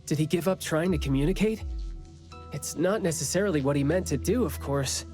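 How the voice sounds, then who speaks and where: A man's voice: on the high side, a little fast, a little mellow, faint music behind, natural voice. An actor playing a glib, analytical young male investigator with a teasing wit in a video game. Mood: thoughtful, breezy. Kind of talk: English video game voice acting.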